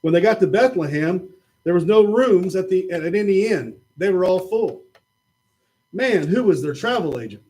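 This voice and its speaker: A man's voice: high voice, lively intonation, normal speed, poor audio. A man patiently telling a Bible story to children in a church puppet show. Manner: patient, gently explaining.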